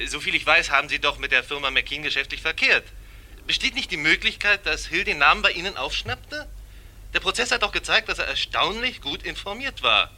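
An actor playing a persistent newspaper reporter in a German radio play, questioning politely but insistently. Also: male voice, on the high side, animated, fairly quick, sharp tone. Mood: probing, insistent.

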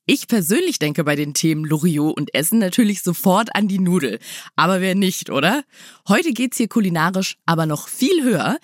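A woman's voice: on the low side, no echo, clean studio sound. A woman hosting a podcast, presenting and chatting with a guest. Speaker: podcast host. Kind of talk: scripted introduction and casual interview.

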